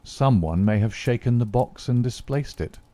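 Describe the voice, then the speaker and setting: A man voicing a cool, confident male detective who speaks in a calm, dry, understated way. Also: mid-range voice, normal speed, voice pushed up, close to an everyday voice.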